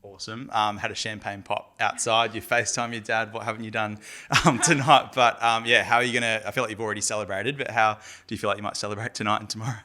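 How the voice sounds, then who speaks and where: A man's voice: on the low side, steady tone, fast talker, pushed and bright. A man interviewing a newly drafted player for the club's channel, asking friendly, upbeat questions. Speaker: club interviewer.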